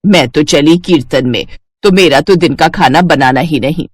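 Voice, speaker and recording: Woman voicing a bossy, low-pitched middle-aged mother-in-law. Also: moderate pace, dry recording. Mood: matter-of-fact. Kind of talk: Hindi cartoon story dialogue.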